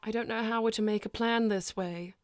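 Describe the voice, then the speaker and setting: A woman playing a proud, formal young cat princess in improvised live roleplay, prim and quick to scold. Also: fairly high pitch, steady tone, dry recording, faint music behind, natural voice. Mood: formal, grave.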